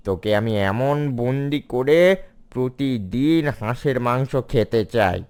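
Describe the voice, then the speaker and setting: A man's voice: medium pitch, a little slow, a little mellow, smooth, close to an everyday voice. A man voicing a cunning, cruel fox in a cartoon, with a menacing, gloating manner. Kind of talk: cartoon villain dialogue. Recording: music behind the voice.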